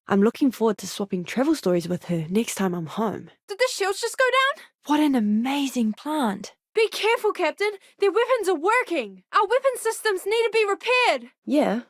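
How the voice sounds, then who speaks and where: A woman's voice: high voice, dry recording, clean studio sound. A woman voicing an eager, curious young botanist and doctor in a spaceship crew for a video game, with an earnest, polite manner. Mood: curious, friendly, then alarmed.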